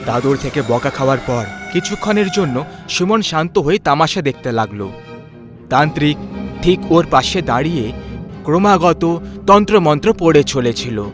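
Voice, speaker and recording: A man narrates a scripted horror tale in a fairly high voice. He works as the storyteller, with music clearly playing beneath his voice. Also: animated, normal speed.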